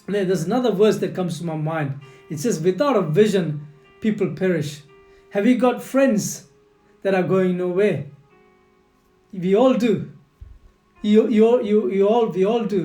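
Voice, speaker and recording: A man whose first language is not English, giving an earnest motivational leadership lesson and speaking straight to the listener with lots of repetition. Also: sits high. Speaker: leadership coach. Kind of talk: motivational teaching talk. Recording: echoey room with soft guitar music.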